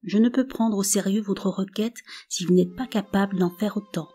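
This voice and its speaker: A woman voicing a frail old male gatekeeper who speaks in a quiet, understated way. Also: medium pitch, normal speed, slightly rough, lightly acted. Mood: dryly calm.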